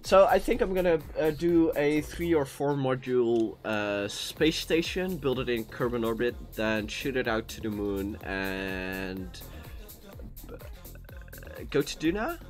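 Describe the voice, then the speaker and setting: A man's voice: mid-range voice, lively intonation, unhurried. A Dutch man with a Dutch accent, chatting casually while live-streaming a game, rambling with many fillers and restarts into a cheap USB mic. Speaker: gaming streamer. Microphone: cheap USB microphone. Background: soft background music.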